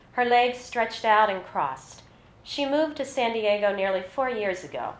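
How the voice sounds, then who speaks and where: Woman author, mid-pitched, reading literary prose live; roomy, echoing indoor sound. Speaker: author reading her own short story. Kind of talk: literary reading of scripted fiction to an audience. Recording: roomy, echoey live event recording.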